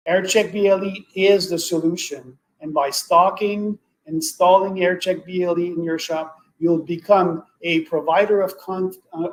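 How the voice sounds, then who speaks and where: A man with a fairly high voice delivers a semi-scripted product pitch as a webinar expert. His delivery is halting, with slightly non-native English phrasing. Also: poor audio. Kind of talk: semi-scripted sales presentation.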